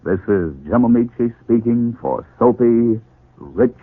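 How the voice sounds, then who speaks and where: A man announcing and narrating in formal, deliberate 1940s radio style. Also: mid-range voice, lively intonation. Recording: tinny, echoey 1940s radio recording.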